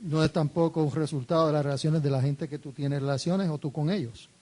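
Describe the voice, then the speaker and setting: Man, sermon interpreter, warm mellow voice, steady phrasing. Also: on the high side, fairly quick. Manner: steady, follows the preacher phrase by phrase. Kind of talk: live sermon interpretation into Spanish.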